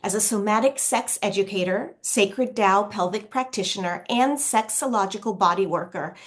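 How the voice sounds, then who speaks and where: Woman reading out a formal speaker bio, measured, medium pitch, academic host. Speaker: academic host introducing a presenter. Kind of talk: formal scripted introduction read aloud.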